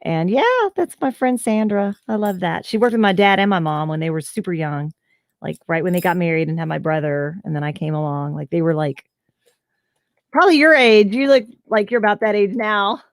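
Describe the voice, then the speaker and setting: A woman's voice: on the low side, lively intonation, a little fast, no room sound, clean studio sound. A woman voice actor, telling stories in a casual interview over a video call. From Southern United States. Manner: chatty, storytelling, lots of fillers. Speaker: voice actor guest.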